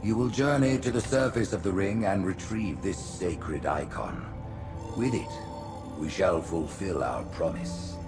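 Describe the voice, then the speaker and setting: A man's voice: expressive, measured pace. An actor voicing a scheming old male alien hierarch who speaks in an imperious, weary, manipulative manner. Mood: grandiose. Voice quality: deep, gravelly, silky. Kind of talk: video game cutscene acting. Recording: game soundtrack with music behind.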